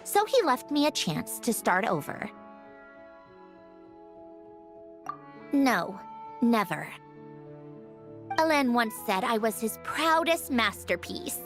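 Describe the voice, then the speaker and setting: A proud female puppet from a video game, lectures with haughty precision. Her voice sits fairly high and swings expressively, prickly at the edges, over background music. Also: sing-song, measured pace. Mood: proud, reflective. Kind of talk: scripted game cutscene acting. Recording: music behind the voice. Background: game soundtrack music.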